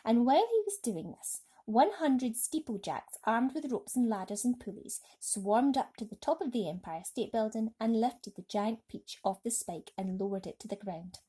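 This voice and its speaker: A woman's voice: a little high, animated, normal speed, hardly any pauses, mellow, husky. A woman narrating a children's bedtime story expressively. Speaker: storybook reader.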